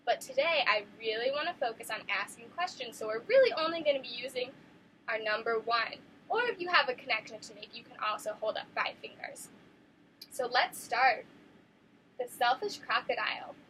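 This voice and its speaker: A young woman teaching a class, reading a story aloud to children in an encouraging, animated way and stopping to ask them questions. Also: a little high, moderate pace, slight background noise, rough recording. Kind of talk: classroom read-aloud lesson.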